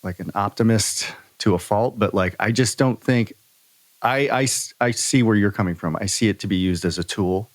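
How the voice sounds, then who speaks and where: A man hosting a podcast in casual conversation, earnest and halting, often repeating himself for emphasis on a close microphone. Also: on the low side, no echo. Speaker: podcast host.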